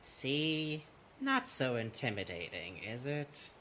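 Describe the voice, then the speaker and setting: A man's voice: mid-range voice, huge pitch swings, slow pace, pushed and bright, a little hiss or hum, exaggerated voice. A man voicing a fussy, dry-witted puppet gardener and bug expert in a cartoon, with prim, precise, sardonic wording. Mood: dryly amused. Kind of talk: cartoon character voice acting.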